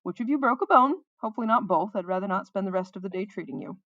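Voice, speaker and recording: A woman's voice: medium pitch, animated, fast talker, fairly bright tone, no room sound, muffled recording, close to an everyday voice. A woman voicing a sensible storybook healer, wry and tolerant, who chides and sighs as she talks. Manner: sighing, wry, reproving.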